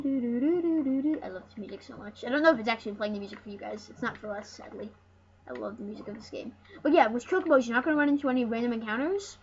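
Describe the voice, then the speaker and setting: An excitable, rambling host giving casual, jokey commentary over a video game. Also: mid-range voice. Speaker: host of a gaming channel. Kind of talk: casual let's play commentary. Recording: dull lo-fi mic with loud noise.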